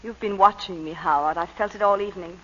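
A woman's voice: medium pitch, fast talker, a bit bright, big-room sound, lightly acted. An actress playing a poised, cool woman accused of a crime, in 1940s radio acting style. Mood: guarded. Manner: poised, cool, at times coy. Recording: dull old radio broadcast with music.

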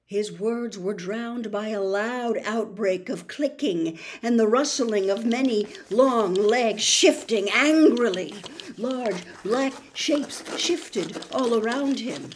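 An older woman narrating a story expressively, in a dramatic, deliberate manner. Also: fairly high pitch. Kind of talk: expressive audiobook-style narration. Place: small bedroom, indoors.

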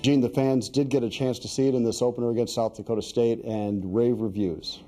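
A man hosting a sports show in a relaxed, conversational studio chat. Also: mid-range voice, fairly quick, faint music behind.

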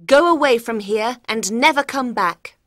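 A man's voice: very high-pitched, expressive, unhurried, pushed and bright, no echo, studio quality, voice pushed up, full character voice. A man voicing a scheming, lovesick young queen in a storybook, with a pleading, dramatic delivery. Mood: eager, commanding. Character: scheming young queen, mournful, devoted to her secret lover. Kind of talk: storybook character voice.

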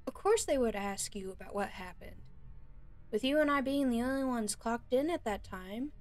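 A woman playing a frightened but defiant young female captive in an audio roleplay, pleading and indignant in a halting way. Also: fairly high pitch, expressive, natural voice.

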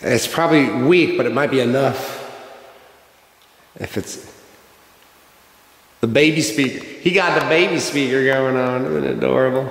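A man's voice: medium pitch, normal speed, gravelly, pauses often. A man, a ghost-hunting team member, chatting casually and joking with teammates in a large empty church. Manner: easygoing, joking, with fillers. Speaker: paranormal investigator. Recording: echoey church, noise.

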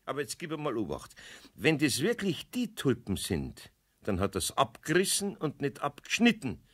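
A man's voice: mid-range voice, huge pitch swings, normal speed, fairly bright tone. A man playing a sensible, grumbly but patient older craftsman with a Bavarian accent in a children's radio play.

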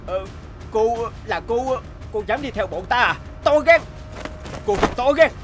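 A man's voice: very high-pitched, animated, unhurried. A man voicing a brutal, menacing kidnapper who scolds harshly in a cartoon. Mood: startled, menacing. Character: brutal, menacing male kidnapper bullying a child. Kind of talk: cartoon dubbing. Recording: music behind the voice.

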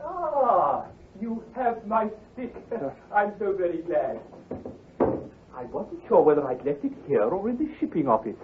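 An actor playing an earnest, amiable, worried young country doctor, formal and fussy, in an old-time radio drama. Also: male voice, high voice, very animated, unhurried, a little hiss or hum. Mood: relieved. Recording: muffled, lo-fi vintage radio recording.

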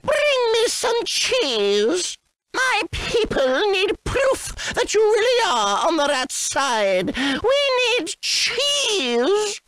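An actor voicing a haughty, demanding little rat king with a pompous, drawn-out manner, for a video game. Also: very high-pitched, wildly swooping pitch, a bit bright, exaggerated voice, low-quality recording. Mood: demanding, wary. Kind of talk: exaggerated video game character lines.

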